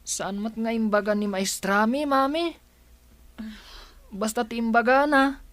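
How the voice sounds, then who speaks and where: A woman voicing an eager, excitable schoolboy in a radio drama. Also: fairly high pitch, unhurried, dry recording. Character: excited schoolboy calling for his mother. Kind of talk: Ilocano radio drama acting.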